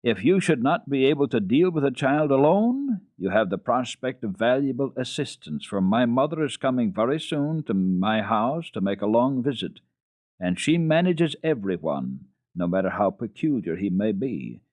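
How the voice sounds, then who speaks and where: A man's voice: medium pitch, sing-song, normal speed, a little mellow, no echo, pitched above the performer's own voice. A man voicing a jovial, indulgent father who speaks heartily and positively in a children's storybook. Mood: firm, positive. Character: jovial, indulgent wealthy father, firm master of house. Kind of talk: dramatic children's storybook reading.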